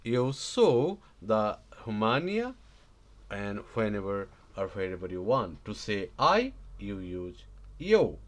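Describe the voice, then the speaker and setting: A man teaching a live online language lesson, repeating example phrases slowly and checking in with "right?" after each one. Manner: animated, stresses words with sudden loud high jumps.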